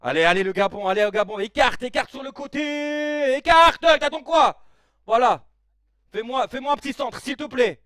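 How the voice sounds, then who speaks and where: A man in his late thirties hosting a casual live stream, reacting excitedly to a football match in slangy chat and shouting at the players. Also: extremely high pitch, normal speed, smooth, no room sound, studio quality, recorded from a distance. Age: 30s. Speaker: live-stream watch-along host.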